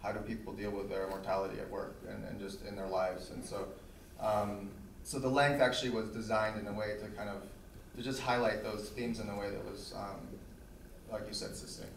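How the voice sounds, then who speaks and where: A male film director answering festival audience questions, halting, with many restarts. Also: on the low side, flat delivery, creaky. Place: theater. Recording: echoey hall, distant mic, faint noise.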